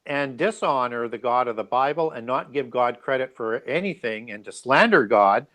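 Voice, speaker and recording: A man's voice: mid-range voice, expressive, normal speed, fairly bright tone. A man speaking as an interview guest, explaining his views earnestly and discursively, often checking in with small tags.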